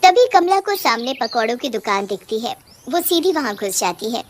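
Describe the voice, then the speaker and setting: A woman's voice: a little high, very animated, normal speed, slight background noise. A woman reading scripted narration for a cartoon horror story as the storyteller.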